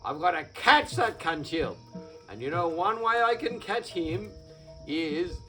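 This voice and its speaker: A man voicing a worried farmer in a told story, muttering low and fretting over his crops. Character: grumbling worried male farmer.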